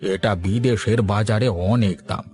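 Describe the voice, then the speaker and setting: A man's voice: lowish voice, unhurried, darkish tone, faint music behind, natural voice. A man playing a confident, well-informed village businessman in a cartoon. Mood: businesslike. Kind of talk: cartoon voice acting.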